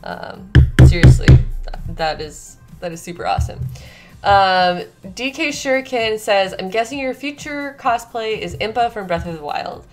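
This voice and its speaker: A woman's voice: mid-range voice, huge pitch swings, unhurried, a little mellow. A young woman chatting casually to viewers as a livestream host, playful and self-deprecating, with game music playing behind her. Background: video game remix music.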